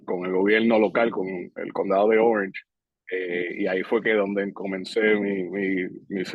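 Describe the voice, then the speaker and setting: Husky, low-voiced man. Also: dry recording. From Puerto Rico. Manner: relaxed, measured, with frequent hesitations. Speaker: guest, public safety director. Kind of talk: casual interview talk. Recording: dry, slightly dull podcast audio.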